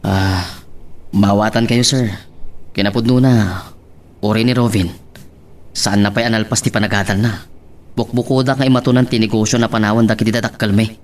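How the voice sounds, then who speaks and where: An actor playing a polite, responsible brother in a radio drama, speaking deferentially. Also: male voice, fairly low pitch, steady tone, normal speed, pauses often, close to an everyday voice. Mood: apologetic, troubled. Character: polite, responsible adult brother of a troublesome sibling. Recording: faint background ambience noise.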